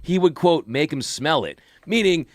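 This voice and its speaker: A man's voice: a little high, normal speed, no room sound. A man co-hosting a sports radio show, arguing his point with lots of laughs from a studio. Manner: lively, laughing often, making his case. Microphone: studio microphone.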